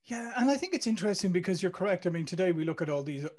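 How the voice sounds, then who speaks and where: A middle-aged man with an Irish accent hosts a video-call interview, slightly higher in pitch than most men. He talks in a relaxed, chatty way and often pauses on "um" and "uh" before restarting. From Ireland. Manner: chatty, with many fillers and restarts. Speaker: interview host. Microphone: studio mic on a video call.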